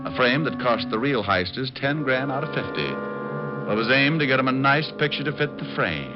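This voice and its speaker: An actor playing a cocky, wisecracking private detective, breezy and sardonic, in an old radio drama. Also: male voice, mid-range voice, lively intonation, normal speed, natural voice. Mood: wry narration. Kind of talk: 1940s radio detective acting and narration. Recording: muffled old radio recording with music.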